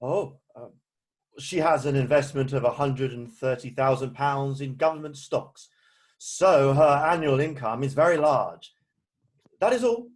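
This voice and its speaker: A man playing a proper, earnest gentleman guardian in an amateur play reading, formal and stiffly indignant. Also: medium pitch, unhurried, close to an everyday voice. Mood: politely terse. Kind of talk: amateur play read-through.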